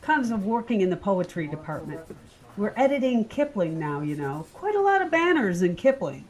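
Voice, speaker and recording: Actress as arch, animated woman colleague. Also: medium pitch, moderate pace, natural voice, low-quality recording. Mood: probing. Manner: arch, probing. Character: sly, inquisitive, clever woman office colleague. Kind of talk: audio drama acting.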